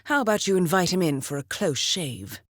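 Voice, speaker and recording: Female assassin's handler, video game briefing, slightly low voice, expressive pitch, poised, formal, dry wit. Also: dry recording. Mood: dryly wry. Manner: measured, poised, formal, dryly witty. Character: cool, composed female intelligence handler guiding an assassin. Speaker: mission handler.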